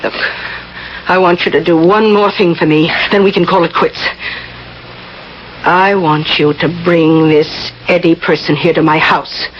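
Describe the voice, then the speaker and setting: Actress as an imperious rich recluse, muffled old radio. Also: female voice, on the low side, steady tone, a little slow, natural voice. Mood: commanding. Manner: commanding, insistent, scornful. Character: wealthy, imperious, prying eccentric recluse woman. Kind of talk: radio mystery drama acting. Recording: dull, noisy old radio broadcast.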